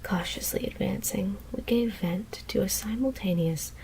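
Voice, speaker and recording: A woman whispers a scary story in a hushed, deliberate ASMR narration. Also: medium pitch, creaky, slight background noise, low-quality recording.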